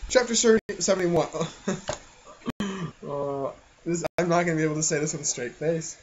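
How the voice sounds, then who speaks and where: A man's voice: on the high side, moderate pace, noisy background. A man co-hosting a comedy podcast, reading a childhood fan fiction aloud and joking, breaking into giggles. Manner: giggly, keeps breaking into laughter while reading. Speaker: co-host.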